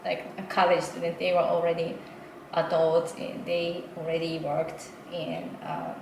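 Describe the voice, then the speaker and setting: A woman, her voice a little lower than most. She talks in a casual, hesitant way, full of fillers and small laughs. The room is echoey, with steady noise behind her. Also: a little slow. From Japan. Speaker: interpreter guest. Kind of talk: casual interview.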